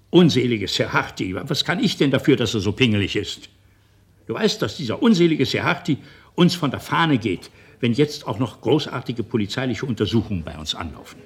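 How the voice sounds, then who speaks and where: A man's voice: lowish voice, slightly rough. A man playing a middle-aged company boss and father in a German radio drama, self-righteous and manipulative, with a patronizing, rhetorical manner. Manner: rhetorical, patronizing, swinging from reasoned to indignant.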